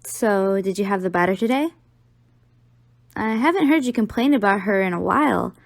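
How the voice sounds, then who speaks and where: A woman's voice: mid-range voice, expressive, normal speed, no room sound, lightly acted. A young woman playing an affectionate, teasing college-age girlfriend in an audio roleplay, talking playfully to the listener.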